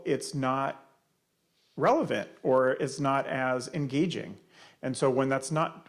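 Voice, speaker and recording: Man explaining survey results, halting. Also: mid-range voice. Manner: explanatory, many fillers and restarts. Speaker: presenter answering audience questions. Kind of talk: community panel Q&A.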